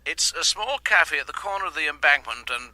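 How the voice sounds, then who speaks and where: Radio play support: male detective, earnest and precise, very piercing bright tone, dry close sound. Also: a little high, animated, a little fast, no echo. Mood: earnest, puzzled. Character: earnest male police detective reporting to his superior. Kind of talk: radio drama acting.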